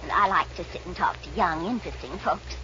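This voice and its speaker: A woman playing a chatty, forward old grandma with a folksy, flattering manner in a 1940s Western radio comedy. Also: on the low side, brisk, close to an everyday voice. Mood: chatty, sweet. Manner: folksy, talkative, flattering, a bit bossy. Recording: muffled, noisy 1940s radio recording.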